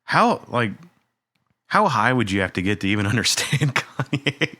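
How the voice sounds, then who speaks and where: A man's voice: mid-range voice, very animated, moderate pace, bright, forceful tone, no echo. A man co-hosting a music podcast, chatting in an easygoing way, joking and laughing a lot. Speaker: podcast co-host. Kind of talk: casual chat.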